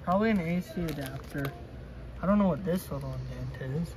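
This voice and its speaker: A man's voice: on the high side, brisk. A young man chatting casually and unscripted as he co-hosts a home gadget review. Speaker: co-host of gadget review vlog. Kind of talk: casual unscripted chat while unboxing. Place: small carpeted room in a house. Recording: noisy handheld home recording.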